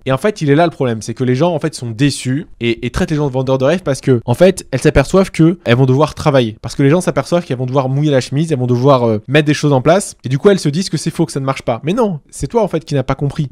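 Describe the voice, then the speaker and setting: A man's voice: on the high side, fast, hardly any pauses, a little mellow, dry recording, clean studio sound. A man in his thirties hosting a real estate training channel, giving direct advice to camera in a persuasive way, speaking straight to the viewer and using rhetorical questions. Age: 30s.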